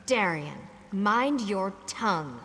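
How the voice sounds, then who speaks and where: An actress playing an earnest, stern fighter who talks frankly and formally in a fantasy game. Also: female voice, medium pitch, big pitch swings, slow pace, pushed and bright, heavily acted. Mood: scolding. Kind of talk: dramatic fantasy game dialogue. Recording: reverberant hall with background noise.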